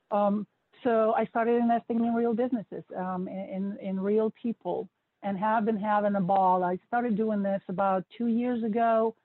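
A woman's voice: medium pitch, dry recording. Non-native English woman on thin dry line. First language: not English. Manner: relaxed, laughing, thoughtful pauses. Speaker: podcast guest, investor. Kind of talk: casual interview answers. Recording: dry thin phone-like audio.

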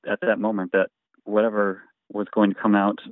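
Man in his forties, interview guest, modest and easygoing, somewhat low voice, narrow phone-line sound. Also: little pitch movement, a little fast, no room sound. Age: 40s. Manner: easygoing and modest, chuckles often. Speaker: guest, singer and memoir author. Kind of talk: casual interview chat. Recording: thin phone-like line, dry.

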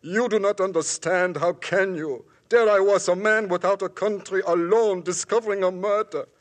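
An actor playing a frightened German immigrant caretaker with a German accent, in a 1950s radio drama. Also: male voice, sits high, normal speed, natural voice. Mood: fearful. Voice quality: husky, pleading and fearful. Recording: old 1950s radio broadcast.

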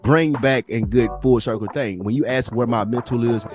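A man's voice: mid-range voice, moderate pace, faint music behind. A man hosting a podcast in casual, emphatic conversation, telling stories with frequent swearing. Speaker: podcast host. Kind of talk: casual chat.

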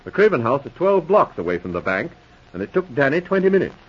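An eager, puzzled police subordinate in a 1940s radio drama. His husky voice rises and falls with lively emphasis. The vintage recording sounds dull. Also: medium pitch, fast talker. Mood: earnest, reporting. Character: earnest, puzzled police subordinate reciting case facts.

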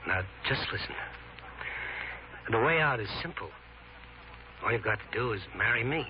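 Tender, expressive gentleman, played by an actor, noisy old radio. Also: medium pitch, normal speed, natural voice. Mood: tender, coaxing. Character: tender, protective gentleman wooing a younger woman. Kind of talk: 1940s radio drama acting. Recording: noisy, muffled old 1940s radio recording.

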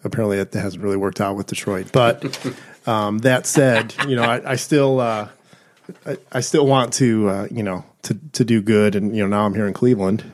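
A man guest-playing a tabletop game, telling a backstory in a halting way with many fillers. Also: on the low side, steady tone, slight background noise. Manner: halting, hesitant, lots of fillers. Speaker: guest player. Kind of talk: casual in-character backstory.

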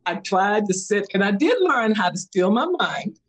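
Expressive woman with lively laughter. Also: normal speed, breathy edge. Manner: expressive, jumps into high-pitched quoted voices, laughs often. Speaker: guest, meditation guide. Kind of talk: animated conversational storytelling.

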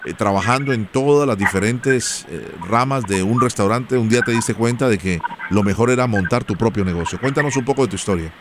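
A man hosts a radio business show with a fairly low voice. He greets and praises his guests in an affectionate, encouraging way. He reads out the show's contact details between interviews. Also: a little fast, few pauses, background noise.

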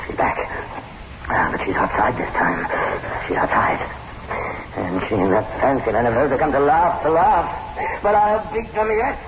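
An actor playing a paranoid, overwrought husband going mad in a radio horror drama, halting and repeating himself. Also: male voice, medium pitch, natural voice. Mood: unravelling. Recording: very noisy old radio recording.

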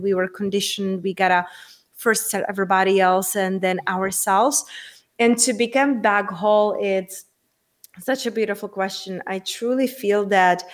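Earnest Czech-accented woman. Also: mid-range voice. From Czech Republic. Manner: earnest, animated, lots of rhetorical questions. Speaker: guest. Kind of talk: casual interview chat.

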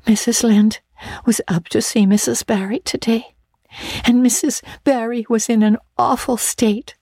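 A woman's voice: fairly high pitch, steady tone, a little slow, mellow, slightly rough, no room sound, studio quality, pitched above the performer's own voice. A woman voicing an imaginative, earnest girl who talks breathlessly and theatrically with stammering restarts. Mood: wailing.

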